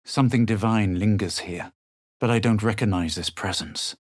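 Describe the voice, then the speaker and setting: A man voicing a haughty, self-assured fantasy adventurer in a video game, measured and superior in manner. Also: lowish voice, no echo, clean studio sound, lightly acted. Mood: brooding, composed. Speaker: video game player character. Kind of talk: scripted game voice lines, narration and spell incantations.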